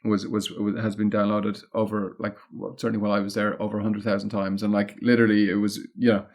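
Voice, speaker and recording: A man with an Irish accent and a fairly low-pitched voice talks in a casual interview style. He is discursive, building his points aloud as an experienced guest would. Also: level tone, fast, darkish tone. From Ireland. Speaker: podcast guest, content marketing consultant.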